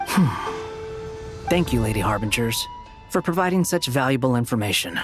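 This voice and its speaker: Guy playing aloof young wanderer dude in a game, mid pitch, mock polite, clipped, faint music under. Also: very animated, moderate pace, close to an everyday voice. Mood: cool, dismissive. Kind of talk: dramatic game voice acting.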